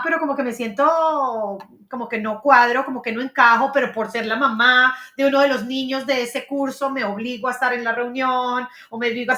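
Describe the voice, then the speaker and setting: Woman, podcast host: bright, chatty delivery, somewhat high voice, roomy echo. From Colombia. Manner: chatty, searching for words with many restarts. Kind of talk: casual conversation.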